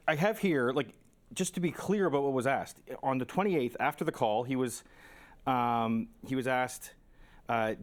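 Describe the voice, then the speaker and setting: A man giving spontaneous political commentary as a panelist, informal and argumentative. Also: medium pitch.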